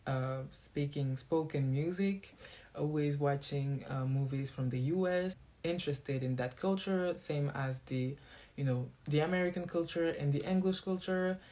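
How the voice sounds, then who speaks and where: A man's voice: fairly high pitch, measured pace, warm tone. A man from Belgium, a native French speaker talking in English, giving a sassy, defiant monologue to his viewers. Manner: sassy, defiant, throws shade. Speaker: vlogger.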